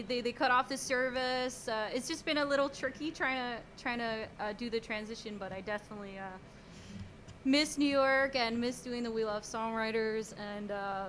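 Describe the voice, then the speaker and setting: Woman, young, higher pitch, chatty, faint background noise, video call. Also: fairly bright tone. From French Canada, Montreal. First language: French. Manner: chatty, giggly, trailing restarts. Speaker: guest songwriter. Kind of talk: casual chat.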